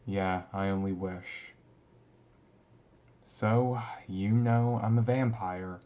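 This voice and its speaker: A man acts out a young male vampire student, secretive and easily flustered, confiding in a classmate in an intimate ASMR roleplay. He speaks slowly and haltingly in a lowish voice, with stammers and pauses. The recording is a little muffled and lo-fi. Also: fairly even intonation, warm tone. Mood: relieved, trusting.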